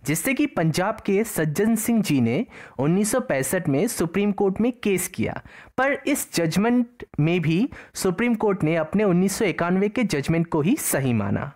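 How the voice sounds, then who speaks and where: A woman narrating an exam-prep lesson over slides, her low voice swinging widely in pitch. She explains each term twice, once plainly and once in English. The sound is a close voice-over. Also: lively intonation, moderate pace, darkish tone. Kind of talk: slide-based lecture narration.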